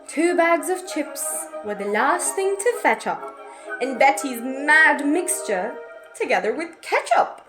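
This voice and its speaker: A woman storyteller with a very high, lively voice reads rhyming verse for children. She takes her time, dramatizing and stretching key words, with soft music behind her. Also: slow. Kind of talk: expressive read-aloud storytelling of rhyming picture book.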